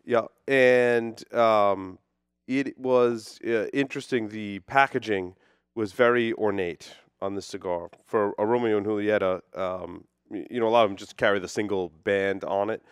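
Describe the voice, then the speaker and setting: Low-voiced emphatic male co-host. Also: steady tone, unhurried, clean tone, no room sound, studio quality. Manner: emphatic, earnest recommending. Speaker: podcast co-host. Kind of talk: casual chat reviewing cigars.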